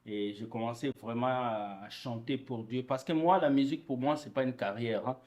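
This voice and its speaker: A young man from Congo, a gospel singer, answering interview questions in an earnest, relaxed storytelling way. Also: mid-range voice, normal speed.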